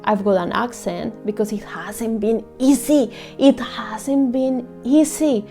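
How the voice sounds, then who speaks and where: Young woman, Colombian Spanish accent, mellow low-key tone, unhurried, earnest personal vlog, faint music behind. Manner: earnest and heartfelt, soft and measured. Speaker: vlogger. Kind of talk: personal reflective monologue.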